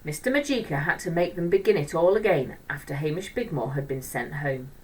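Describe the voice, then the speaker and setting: Expressive woman reading a children's story. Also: sits low, a little fast, husky, far from the mic, poor audio. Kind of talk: expressive children's audiobook-style narration.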